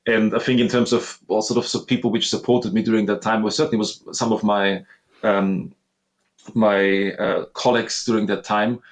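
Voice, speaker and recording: A man's voice: fairly low pitch, little pitch movement, normal speed. A man in his thirties from Austria with an Austrian accent, a guest telling his story earnestly and reflectively in an interview. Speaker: guest, master sommelier. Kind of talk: conversational interview.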